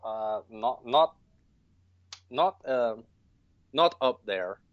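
A man plays an ancient, droll horror sidekick with a lively, swinging pitch. The delivery is deadpan and halting, like podcast banter in character. The sound is dry and a little dull. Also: no room sound.

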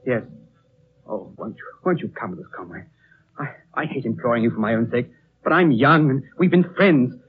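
A man acting an impulsive young Englishman in an old radio play, excitable and pleading. His voice swoops up and down as he stammers, over a muffled broadcast with faint noise. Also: medium pitch, moderate pace, close to an everyday voice. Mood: upset, pleading. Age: young adult. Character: agitated, lovestruck young Englishman, stubborn and impulsive.

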